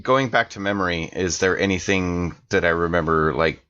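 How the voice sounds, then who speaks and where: A man's voice: sits low, moderate pace, pushed and bright, clear, resonant tone. A man playing a tabletop role-play game, asking questions hesitantly. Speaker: player. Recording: dull lo-fi mic.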